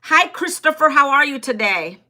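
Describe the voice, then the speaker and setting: Bright high-voiced woman in her fifties. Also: sing-song, moderate pace. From Northern Virginia, USA. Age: 50s. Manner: chatty, talks straight to viewers and reads their comments aloud. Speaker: livestream host. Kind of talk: casual livestream chat with viewers.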